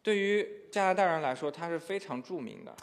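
A man's voice: on the high side, expressive, fairly quick, gravelly. Hesitant male church interpreter. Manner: hesitant, many filler sounds. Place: church hall. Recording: echoey hall.

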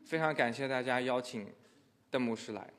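A man's voice: mid-range voice, a little fast, a bit bright. Guy translating a sermon line by line, lots of uh's, medium voice, echoey church. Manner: hesitant, many filler sounds. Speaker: interpreter. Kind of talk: consecutive church interpreting. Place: church hall. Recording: echoey hall.

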